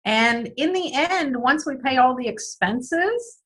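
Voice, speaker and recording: A woman guest who is losing her voice, answering interview questions earnestly with frequent "um" pauses. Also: high voice, animated, a bit bright. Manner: earnest, many "um" fillers and repeated words. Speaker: guest speaker on business systems.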